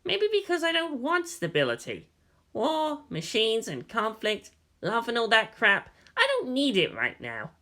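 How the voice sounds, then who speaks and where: A man's voice: very high voice, wildly swooping pitch, unhurried, sharp tone, pitched above the performer's own voice, exaggerated voice. A man voicing a sardonic, muttering nerdy teen boy. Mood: brooding, frustrated. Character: wry, bored, nerdy teenage boy.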